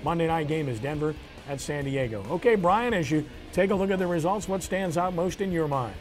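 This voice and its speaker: Man, slightly high voice, sports show host, clipped headline delivery, commentary style. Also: lively intonation, brisk, soft background music. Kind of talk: sports commentary and results rundown.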